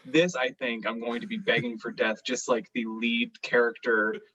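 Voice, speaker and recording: A male podcast co-host with a bright, forceful voice, captured a little dull and lo-fi. He chats casually in a dry, sardonic, rambling way. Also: medium pitch, level tone, moderate pace, recorded from a distance, slight background noise. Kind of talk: casual comic banter. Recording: dull, lo-fi microphone sound.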